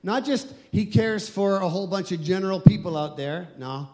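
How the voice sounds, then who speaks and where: A man pastor preaching a sermon, emphatic and slowing down on key phrases. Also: high-pitched, dark tone, soft background music.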